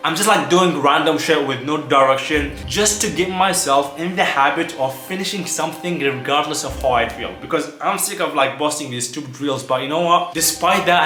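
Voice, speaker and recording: A man's voice: on the high side, pushed and bright. A man from Iraq talking casually and emphatically to camera in an informal motivational vlog, repeating points and sometimes swearing. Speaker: vlogger. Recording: roomy echo, music behind.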